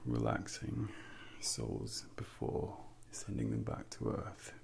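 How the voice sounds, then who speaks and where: Young male for ASMR sleep hypnosis: soothing Devil, breathy half-whisper, heavy vocal fry, slow deliberate delivery, close-miked over faint ambient noise. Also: rumbling low, very animated, darkish tone. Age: young adult. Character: calm, soothing Devil guiding a soul into sleep. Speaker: ASMR role-play performer. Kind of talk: ASMR soft speaking, guided sleep hypnosis. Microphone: close binaural microphone.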